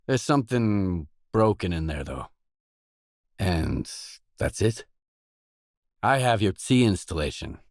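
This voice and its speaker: A man's voice: on the low side, a little mellow, no echo, studio quality. A man playing a matter-of-fact mercenary stalker, the hero of a video game, speaking in terse, businesslike dialogue lines.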